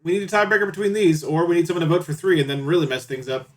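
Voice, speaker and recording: A man's voice: on the high side, racing. A man hosting a casual game livestream, chatting and bantering playfully with viewers as he reads out choices. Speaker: livestream host reading out game and chat choices. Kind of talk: casual stream chat and commentary on a visual novel.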